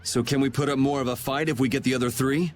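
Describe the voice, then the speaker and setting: A man's voice: medium pitch, fairly even intonation, quick pace, soft background music, natural voice. Man playing a casual, resolute young hero. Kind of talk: English video game voice acting.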